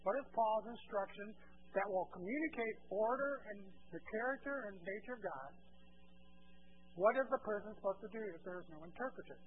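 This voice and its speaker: A man's voice: sits high, lively intonation, a little fast. A man preaching an expository sermon to a congregation in an emphatic, instructive manner, posing rhetorical questions and repeating key phrases. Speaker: preacher teaching a church congregation. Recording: muffled lo-fi, clear hum and noise.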